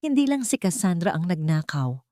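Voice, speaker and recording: Narrator lady doing a wronged lady boss, firm and commanding, lowish voice, dry audio drama. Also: very animated, a little fast, warm tone, no room sound. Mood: shaken disgust. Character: wronged, resolute woman executive, hard and commanding.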